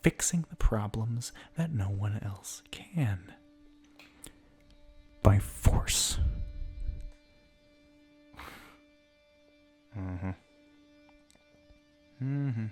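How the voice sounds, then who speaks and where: A man's voice: fairly low pitch, lively intonation, very slow, pauses often, darkish tone, faint music behind. A man playing a bitter, mocking villain in an ASMR roleplay monologue, speaking theatrically with sardonic asides and often dropping toward a whisper. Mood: cold, mocking. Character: bitter, mocking male villain revolutionary monologuing at a fallen hero. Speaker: voice actor in an audio roleplay.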